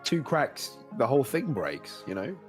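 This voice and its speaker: A man's voice: mid-range voice, sing-song, measured pace, faint music behind. A young man co-hosting a podcast in casual chat, his throat sore and cracking, nose stuffy, laughing often as he rambles. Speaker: podcast co-host.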